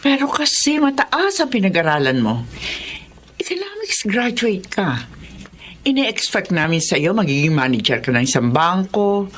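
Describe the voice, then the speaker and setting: A woman's voice: mid-range voice, big pitch swings, a little slow, a bit bright, a little hiss or hum. An actress playing a pushy but caring older mother who lectures her son. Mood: coaxing. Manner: coaxing, lecturing.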